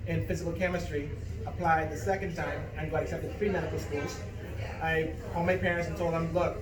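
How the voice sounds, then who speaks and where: A man's voice: on the high side, little pitch movement, a little fast. Man, from the Bahamas, middle-aged, candidate, earnest, echoey room, crowd chatter behind. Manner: earnest and forceful, laughs easily in banter. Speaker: political candidate. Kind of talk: casual talk at a neighborhood meet and greet. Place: gathering in a room with people around. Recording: echoey room, mic far off, crowd noise.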